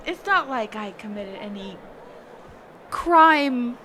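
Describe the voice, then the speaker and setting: A woman voicing a reclusive, socially awkward mage teacher in a roleplay audio, speaking hesitantly and evasively with trailing pauses and hums. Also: on the high side, expressive, bright, forceful tone, a little hiss or hum, exaggerated voice. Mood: sheepish, evasive. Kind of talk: character roleplay audio, one side of a dialogue.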